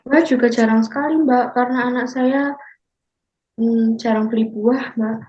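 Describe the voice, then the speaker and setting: A woman's voice: on the high side, steady tone, close to an everyday voice. A woman playing a 65-year-old grandmother as a counseling client, speaking politely and deferentially. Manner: slow, polite, deferential, calls the counselor Mbak. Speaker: counseling client in a class role-play. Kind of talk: scripted role-play consultation. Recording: muffled lo-fi recording.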